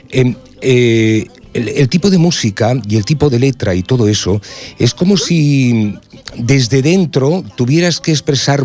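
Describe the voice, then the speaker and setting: A man's voice: medium pitch, a little mellow. A man hosting a casual radio interview, chatty and hesitant, with lots of fillers. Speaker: radio host.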